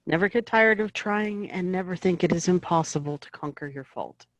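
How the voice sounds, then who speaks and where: A woman acting a gentle, devout middle-aged mother in an audio play, giving tender, measured counsel. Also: fairly low pitch, no room sound, lo-fi sound, close to an everyday voice. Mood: gently earnest.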